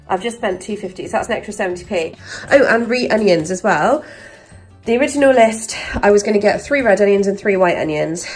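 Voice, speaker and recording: A young woman, hoarse from a sore throat and stuffed up, chatting casually to camera as a vlogger with plenty of ums and rambling asides. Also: mid-range voice. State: croaky sore throat, congested.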